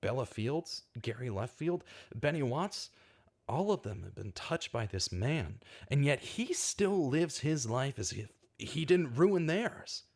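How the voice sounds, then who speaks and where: A man playing an earnest, troubled investigator in a radio drama, with a deliberate, dramatic delivery. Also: medium pitch, sing-song, creaky, exaggerated voice. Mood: anguished, bitter. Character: earnest, troubled male investigator, bitter at injustice. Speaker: narrator and investigator in an audio drama. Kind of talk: scripted radio drama acting with monologue narration.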